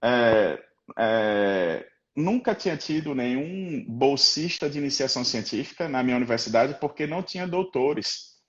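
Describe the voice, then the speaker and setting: A man's voice: medium pitch, animated, normal speed, fairly bright tone, muffled recording. A man with a Rio Grande do Norte accent, a guest professor talking casually on a live stream, rambling with many fillers and tag questions. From Rio Grande do Norte, Brazil.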